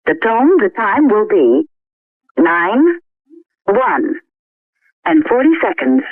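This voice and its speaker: A woman announcing the time as a recorded speaking clock, in a measured, formulaic delivery over a telephone line. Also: fairly high pitch, big pitch swings, dry recording. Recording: thin, phone-like time-signal tape.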